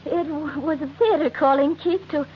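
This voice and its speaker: An actress playing a frightened wife with a secret, anxious, halting and pleading, in an old-time radio melodrama. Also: female voice, sits high, lightly acted. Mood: nervous. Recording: muffled, noisy old radio recording.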